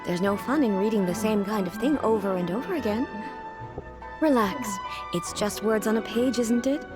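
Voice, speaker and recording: A woman's voice: medium pitch, moderate pace, close to an everyday voice. A woman voicing a regal, composed ruler in a video game, stately, formal and bluntly curious. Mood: reassuring. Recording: music behind the voice.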